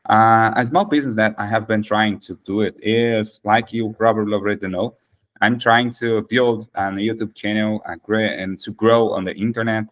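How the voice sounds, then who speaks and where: A Brazilian man whose first language is Portuguese, hosting an English practice chat and speaking hesitantly with many restarts. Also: fairly low pitch. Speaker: host of an English practice meeting. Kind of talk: casual conversation practice.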